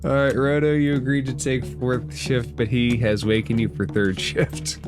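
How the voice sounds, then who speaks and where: A man's voice: mid-range voice, level tone, normal speed. A man acting as dungeon master, running a tabletop game with background music. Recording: loud music behind the voice. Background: loud background music.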